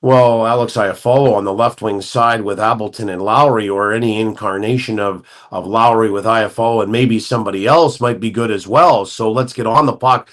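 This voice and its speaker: A man's voice: fairly low pitch, steady tone, normal speed, few pauses. A man talking as a hockey analyst on a sports talk show, laying out scenarios at length and thinking aloud.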